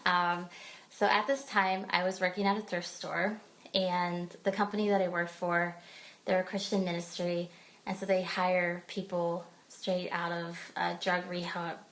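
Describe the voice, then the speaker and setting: A woman from Pennsylvania, a podcast guest sharing her personal story in a hesitant way, with frequent pauses and fillers. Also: lowish voice, little pitch movement, a bit bright. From Pennsylvania, United States. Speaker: guest speaker. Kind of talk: personal testimony in a podcast interview.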